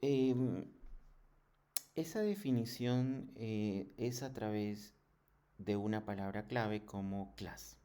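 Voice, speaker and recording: A man giving a programming tutorial in a halting, didactic way, often checking in with short questions. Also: fairly low pitch, mellow, smooth. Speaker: programming instructor. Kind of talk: screen tutorial lesson.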